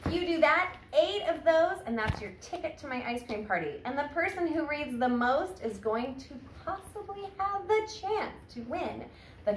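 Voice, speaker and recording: A woman in her thirties talking casually to camera like a teacher presenting to families, recorded indoors on a phone a short distance away. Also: a little high. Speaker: teacher introducing a children's summer reading challenge. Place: indoor room at home, sitting on a wooden floor against a wall. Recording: echoey room, faint background noise.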